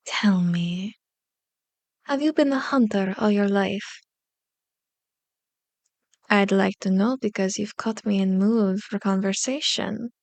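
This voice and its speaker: A woman playing a powerful elder vampire in an ASMR roleplay, seductive and taunting, with slow, deliberate, formally worded speech. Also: medium pitch, darkish tone, dry recording. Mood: curious, condescending. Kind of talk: ASMR roleplay, scripted monologue. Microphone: close microphone, dry recording.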